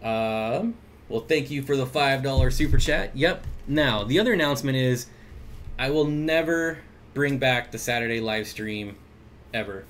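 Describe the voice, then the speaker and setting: A man hosts a home aquarium livestream from his desk mic, chatting loosely and reading out viewer comments between jokey asides. His voice sits in a middle pitch, and a faint hum of room noise runs underneath. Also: unhurried, a bit bright. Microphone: desk mic at computer.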